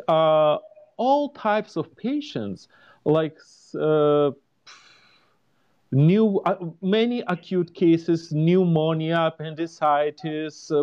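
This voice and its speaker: A man in his forties from Ukraine with a Ukrainian accent, a guest answering interview questions in a halting way, searching for words. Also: a little high, a little mellow, highs cut off. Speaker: homeopath guest.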